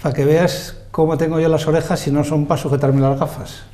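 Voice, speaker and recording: A man with a Madrid accent tells his stories as an interview guest, modest and quick to poke fun at himself. His voice sits a little high, and its tone is soft and mellow. He speaks in a TV studio setting. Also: level tone. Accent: Madrid Spanish. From Spain, Madrid. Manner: self-deprecating storyteller, modest. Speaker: guest, lawyer and journalist. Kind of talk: relaxed interview conversation.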